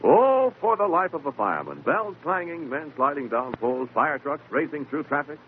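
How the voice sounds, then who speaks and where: A man's voice: a little high, sing-song, normal speed. A man announcing a vintage radio advertisement in a folksy, punchy sales style. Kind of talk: 1940s radio advertising pitch. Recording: narrow-band, hissy old radio recording.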